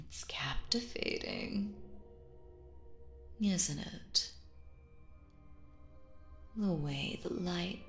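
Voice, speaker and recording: Casting: woman as sinister female magician, possessive and coaxing, theatrical, very unhurried, lowish voice, roleplay audio. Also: gravelly, reverberant, full character voice. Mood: hypnotic, hushed. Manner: theatrical, slow, silky, addresses listener as dear. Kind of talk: scripted roleplay audio acting.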